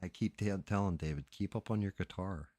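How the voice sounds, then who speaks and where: A man's voice: deep voice, a little fast, warm tone, no room sound. A middle-aged man chatting casually as a livestream host, crude and joking in a rambling, sweary way.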